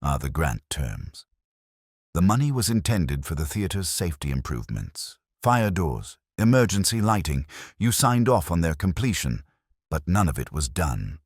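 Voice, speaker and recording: A man plays a composed woman in an audiobook story, smooth and exacting as she confronts wrongdoers. The voice stays low, with measured words and rising and falling emphasis in a dry recording. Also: big pitch swings, dry recording, heavily acted. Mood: precise, calm.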